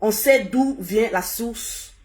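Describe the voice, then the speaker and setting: A woman hosting a casual live stream, hoarse and husky from a cold, repeating herself as she urges viewers to share. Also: a little high, slow pace. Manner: repetitive, urging viewers to share. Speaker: livestream host.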